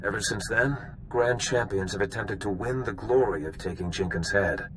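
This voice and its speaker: A man's voice: fairly low pitch, moderate pace, noisy background, close to an everyday voice. An actor playing a seasoned bounty hunter in a video game, wry and knowing as he lays out old history. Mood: explaining, cautionary.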